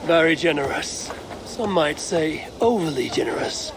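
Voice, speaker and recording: A man playing a guarded, shrewd Viking clan leader in a video game, speaking in a measured, wry and formal way. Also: on the high side, lively intonation, background noise. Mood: wry, courteous. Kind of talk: video game dramatic dialogue. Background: background music.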